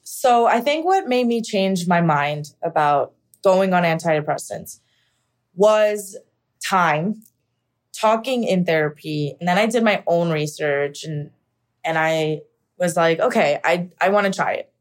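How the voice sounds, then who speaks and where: A woman's voice: on the low side, lively intonation, measured pace. A young woman from the United States candidly telling her own story in an interview, reflective and full of casual fillers. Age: young adult. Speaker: actor sharing her story. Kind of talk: personal interview monologue.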